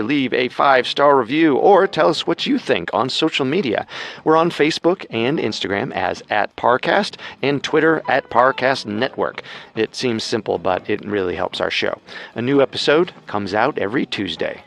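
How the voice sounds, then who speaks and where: A man hosting a podcast, delivering scripted true-crime narration in a measured, dramatic storytelling manner. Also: medium pitch, gravelly, a bit bright.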